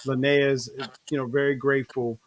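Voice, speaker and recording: A man's voice: medium pitch, level tone, brisk, noisy background. A man hosting a casual online interview with a stage cast, talking in an easygoing, rambling way with many fillers over a video call. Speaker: show host.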